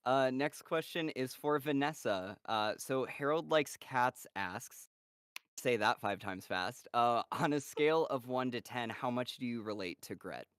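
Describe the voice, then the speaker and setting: A man's voice: medium pitch, lively intonation, unhurried, fairly bright tone, smooth, dry recording, studio quality. A man hosting a casual livestream Q&A who laughs often and chats encouragingly. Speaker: host of the cast Q&A.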